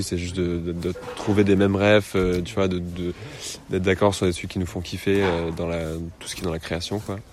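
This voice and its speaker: A young man in his twenties who grew up in the United States, answering interview questions in a relaxed, rambling way with frequent hesitations. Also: low-pitched, slight background noise. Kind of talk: casual conversation.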